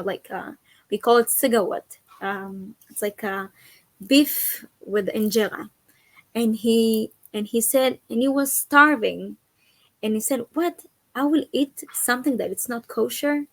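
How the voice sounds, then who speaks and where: A woman's voice: on the high side, a bit bright, no room sound. A young woman from Israel, an activist and educator, speaking earnestly as an interview guest and searching for her words. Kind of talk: conversational interview.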